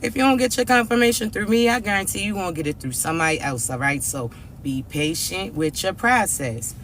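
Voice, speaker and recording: A man with a high, ringing voice roughened by rasp and hoarseness speaks directly to his followers. His delivery is slow and emphatic, full of repeated check-ins with the listener. A faint hum sits behind him. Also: a bit bright. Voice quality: raspy. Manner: repeats "all right?" and "chosen ones", slow and emphatic. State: hoarse from allergies. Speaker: spiritual reader and channel host. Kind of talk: direct-to-camera motivational spiritual message.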